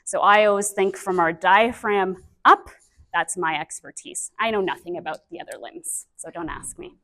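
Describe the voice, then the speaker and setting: A woman in her thirties, a speech-language pathologist giving a lively, chatty conference talk on a microphone, with many fillers. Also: on the low side, normal speed, rough recording. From Canada. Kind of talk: conference presentation. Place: conference breakout room. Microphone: handheld or stand mic with feedback.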